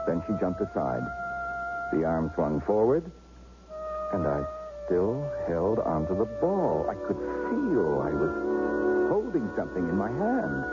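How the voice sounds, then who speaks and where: A man narrating his own story in a 1970s radio drama in a measured, reflective way. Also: low voice. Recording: dull vintage radio recording with loud music.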